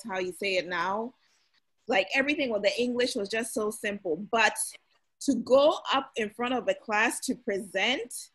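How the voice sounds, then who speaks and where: A young woman from Ghana hosting an online meeting in a relaxed, chatty way with many fillers, heard over a video call. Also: medium pitch, breathy edge, poor audio. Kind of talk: casual meeting talk.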